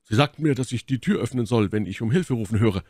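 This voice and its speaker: A man's voice: medium pitch, animated, fast talker, a little mellow, no echo, clean studio sound, voice pushed up, close to an everyday voice. A man playing a dutiful guard soldier in an audiobook, explaining himself plainly. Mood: earnest, puzzled. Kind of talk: dramatic audiobook character acting.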